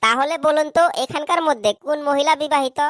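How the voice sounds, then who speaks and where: A woman's voice: high-pitched, quick pace, noisy background, close to an everyday voice. A woman voicing a cheeky, eager schoolboy who recites to his teacher in a comedy cartoon.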